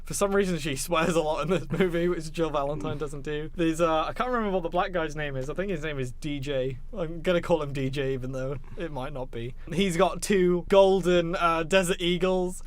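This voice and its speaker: Man, slightly high voice, podcast co-host, casual film chat, rambling storyteller, enthusiastic, sweary. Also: fairly even intonation, a little fast, few pauses. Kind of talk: casual chat about films.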